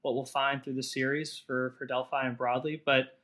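A man's voice: medium pitch, moderate pace. A man hosting a crypto podcast, speaking with eager enthusiasm and frequent fillers. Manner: enthusiastic, repeats "really excited". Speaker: podcast host. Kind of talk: upbeat podcast intro and interview.

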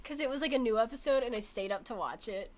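A young woman co-hosting a casual podcast chat, excitable and laughing often. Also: fairly high pitch, quick pace, noisy background. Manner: giggly, excitable, lots of laughter. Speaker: podcast co-host.

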